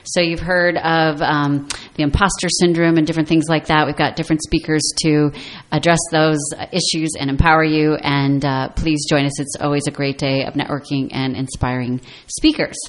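A woman's voice: low voice, little pitch movement, normal speed. A woman hosting a conversational radio interview in an upbeat, encouraging way. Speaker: radio show host.

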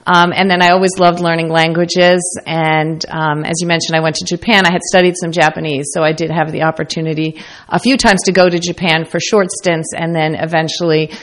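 A woman's voice: sits low, flat delivery, fairly quick. An American woman speaking conversationally as the guest in a radio interview. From United States. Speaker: interview guest, company founder.

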